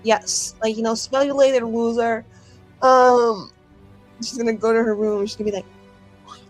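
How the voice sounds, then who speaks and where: A woman's voice: fairly high pitch, fairly even intonation, moderate pace, faint music behind. A woman playing a tabletop roleplaying game, improvising in casual, slangy, sweary talk with a wry, rambling manner.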